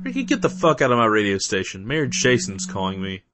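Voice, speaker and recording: A man's voice: fairly low pitch, huge pitch swings, fairly quick, heavy background noise. A man playing a blunt but decent, weary radio station worker in a comedy audio drama, sarcastic and sweary. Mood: exasperated.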